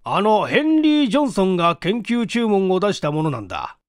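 A narrator, a man, puts on a middle-aged male company president in an audiobook. He is composed and authoritative, with a raised, swooping pitch and a dry, close sound. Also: big pitch swings, brisk, no echo. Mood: explaining.

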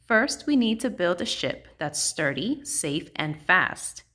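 A woman's voice: on the low side, very animated, takes it slowly, pushed and bright, full character voice. A woman voicing a plucky, determined young girl rocket captain in a storybook, earnest and upbeat.

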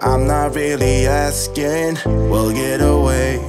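Low-voiced woman singing over loud music. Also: level tone, slow pace. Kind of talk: song, singing.

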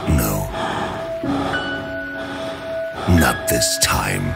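A grim comic-book vigilante with an extremely low, gravel-filled voice. He is proud and obsessive, delivering slow, terse, brooding lines over prominent music. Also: full character voice. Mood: threatening. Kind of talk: dark comic-book drama narration and dialogue.

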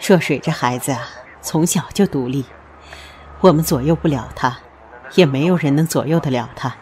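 A man's voice: fairly high pitch, moderate pace, darkish tone, a little hiss or hum, lightly acted. A man in an amateur audio drama playing a resigned, accepting parent of a grown son.

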